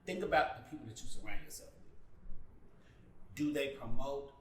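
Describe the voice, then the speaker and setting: A man's voice: medium pitch, moderate pace. Emphatic, sassy man in a roomy recording. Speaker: panelist. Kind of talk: candid group discussion, giving advice. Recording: roomy, distant mic, faint background noise.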